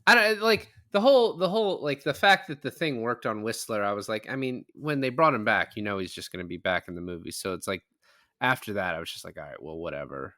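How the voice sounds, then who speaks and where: A man's voice: lowish voice, animated, quick pace, bright, forceful tone, no room sound, clean studio sound. A man in a casual film discussion, opinionated and emphatic, swearing freely as he makes his points. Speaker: film podcast panelist.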